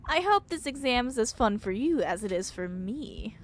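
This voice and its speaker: A woman's voice: on the high side, big pitch swings, moderate pace, slight background noise. A woman voicing a cheeky game protagonist who flirts brazenly in a theatrical manner. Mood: flirty.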